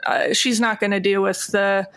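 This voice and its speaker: A woman's voice: medium pitch, little pitch movement, normal speed, fairly bright tone. A woman roleplaying casually as a player in a tabletop game, understated and conversational.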